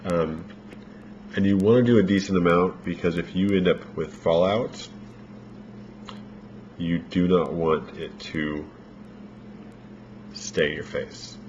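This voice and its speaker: A man giving a casual makeup tutorial, explaining each step in a relaxed, rambling way with many fillers, his voice a little worn as if he is losing it. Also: low-pitched, slow, long pauses. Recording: dull lo-fi home recording with faint noise.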